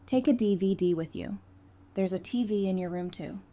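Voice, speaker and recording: A woman's voice: medium pitch, normal speed, warm tone, natural voice. An actress playing a candid, caring, perceptive woman who talks casually and frankly in a fan audio drama. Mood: playful.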